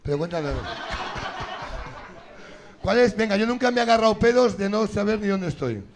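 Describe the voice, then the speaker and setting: A man's voice: high voice, expressive, moderate pace, background noise. A man hosting a live comedy game show, teasing and swearing as he jokes with the audience. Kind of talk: loose stand-up banter with the audience.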